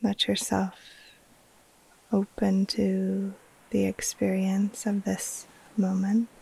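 Female yoga nidra guide: hushed, mellow and unhurried, breathy near-whisper with heavy vocal fry, soothing short phrases. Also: medium pitch, monotone, poor audio. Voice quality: breathy, soft, often trailing into near-whisper. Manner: slow, soothing, hushed, with long pauses between short phrases. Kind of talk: guided relaxation meditation.

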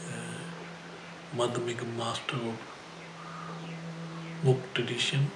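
An older man, a Buddhist teacher, reading a biography aloud haltingly with frequent pauses. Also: mid-range voice. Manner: halting, with pauses and throat clearing. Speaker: Buddhist teacher and spiritual director. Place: indoor shrine room, seated at a desk. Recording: echoey room, clear background noise.

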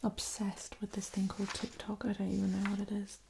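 Disapproving old-fashioned vampire lady played by a woman, flat creaky whisper, ASMR, faint hiss. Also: mid-range voice, brisk, close to an everyday voice. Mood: baffled, disdainful. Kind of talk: ASMR roleplay.

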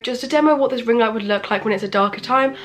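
Croaky-voiced teen girl vlogging. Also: a little high, fast, background noise. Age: teens. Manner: chatty and excitable, lots of "like" and "literally". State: croaky voice from a cold. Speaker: YouTuber. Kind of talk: unboxing vlog. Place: bedroom, indoors.

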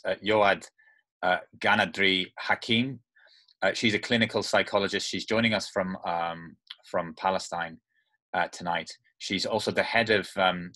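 A young man moderating a panel on a video call, introducing the next speaker in a measured way with frequent ums. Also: low-pitched, bright, forceful tone. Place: home study with bookshelves. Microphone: laptop webcam mic on a video call.

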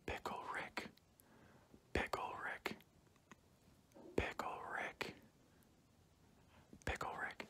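A young man speaking softly through a cloth mask in an ASMR roleplay as an examiner, often dropping into whispers and repeating short phrases close to the mic. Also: drawn-out words, pauses often. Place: small plain indoor room. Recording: clearly noisy home recording with clicks.

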